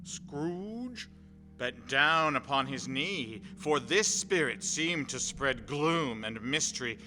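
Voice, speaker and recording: Bright-voiced man narrating radio drama. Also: mid-range voice, animated, slow pace, faint music behind. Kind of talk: scripted radio play narration. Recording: reverberant hall.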